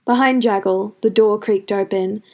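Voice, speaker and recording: Expressive female story narrator. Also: mid-range voice, unhurried. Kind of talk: scripted fan fiction narration.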